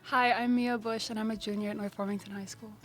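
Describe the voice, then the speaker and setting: A teenage girl from South Africa, a high school student, answering interview questions shyly and softly. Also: flat delivery, quick pace. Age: teens.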